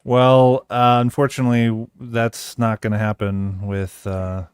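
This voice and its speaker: A man's voice: mid-range voice, flat delivery, a little slow, smooth, dry recording, studio quality. A man hosting a casual livestream panel, blunt and joking, swearing casually. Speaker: livestream host.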